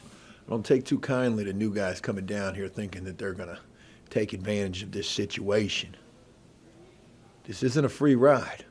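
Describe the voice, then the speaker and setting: A man playing a middle-aged veteran inmate who bosses the prison kitchen, in an ASMR role play with a gravelly, husky voice and a folksy, intimidating manner. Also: lowish voice, darkish tone, slight background noise, natural voice. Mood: sardonic, warning. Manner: folksy, intimidating, drawn-out menacing delivery. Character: gruff, bossy veteran male prison inmate running the kitchen.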